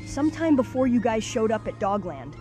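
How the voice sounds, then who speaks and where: Woman as young cartoon team leader, fairly high voice, confident, practical, faint music behind. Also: very animated, fairly quick, warm tone. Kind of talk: cartoon comic dub acting.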